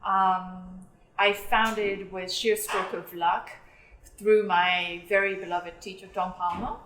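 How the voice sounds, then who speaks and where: A young woman who was born in South Korea and grew up in England, speaking thoughtfully about her work as an orchestral bassist in an interview. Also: steady tone, takes it slowly, a bit bright, a little hiss or hum. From South Korea, raised in England. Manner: thoughtful, earnest, with fillers. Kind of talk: interview talk. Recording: roomy echo.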